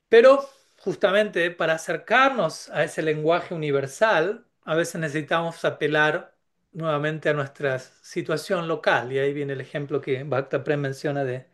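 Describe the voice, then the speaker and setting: A man giving a reflective spiritual talk, thinking aloud with frequent restarts and rhetorical tag questions. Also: fairly high pitch. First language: Spanish. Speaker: spiritual speaker.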